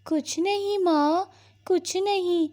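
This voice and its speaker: A woman's voice: very high voice, fairly even intonation, slow pace, darkish tone. A woman voicing a caring, eager young girl bird in a Hindi cartoon story, with a childlike, earnest manner.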